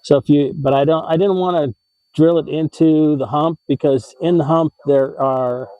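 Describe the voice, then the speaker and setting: A man's voice: a little high, fairly even intonation, moderate pace, dry recording, narrow, tinny audio. An American man hosting a how-to video, explaining in a casual, informal way with some hesitation and self-correction. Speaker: host of an RV tips video channel.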